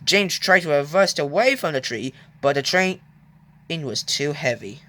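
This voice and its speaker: A storyteller narrating a children's read-along, stumbling and halting over the text. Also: mid-range voice, animated, bright, forceful tone.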